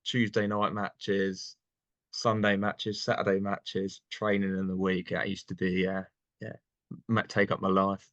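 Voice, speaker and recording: A young man from England chatting casually as an interview guest, relaxed and laughing often. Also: low voice, a little slow, no room sound, dull audio. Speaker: guest, online seller.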